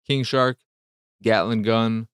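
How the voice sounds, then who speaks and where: A man with a fairly low, clean and resonant voice talks through a casual game review. He works out his thoughts as he goes, in short, halting phrases. The sound is dry and close, with very little room around it. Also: fairly even intonation, clear, resonant tone, no echo.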